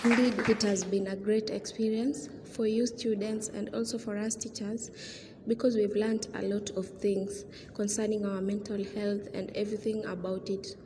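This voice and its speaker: A woman's voice: medium pitch, level tone, moderate pace, a crowd in the background, lightly acted. A woman acting as a formal school teacher addressing students in a radio drama. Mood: appreciative, formal. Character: strict but appreciative female school teacher addressing assembled students. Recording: long hall reverb, clear background noise.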